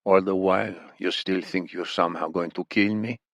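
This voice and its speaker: A man voicing a muscular, menacing Iranian interrogator in an audiobook, speaking softly with mock politeness in an Iranian accent. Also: low voice, steady tone, no echo. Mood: mock-friendly menace. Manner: soft-spoken, slow, mock-polite menace.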